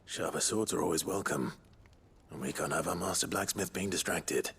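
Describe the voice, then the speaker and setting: Deep, gravelly outlaw leader. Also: male voice, heavily acted. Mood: calm concern. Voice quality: low, gravelly. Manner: calm, measured, politely spoken. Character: earnest, patient outlaw leader, younger man. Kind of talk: video game voice acting.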